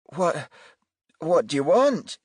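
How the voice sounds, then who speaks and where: Man voicing a high-pitched, flustered mother. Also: big pitch swings, slow, husky, dry recording, clean studio sound, exaggerated voice. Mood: nervous, hesitant. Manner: stern, flustered, fussing over her son. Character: haggard anxious Scottish mother in her early fifties. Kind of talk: audiobook character dialogue.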